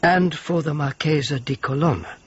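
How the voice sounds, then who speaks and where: An actor playing a grave, careful and disappointed friar in a radio drama, speaking with some hesitation. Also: male voice, a little high, moderate pace, husky. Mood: grave, confiding. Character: grave, solid, disappointed male friar, measured and discreet. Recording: dull lo-fi radio drama, faint noise.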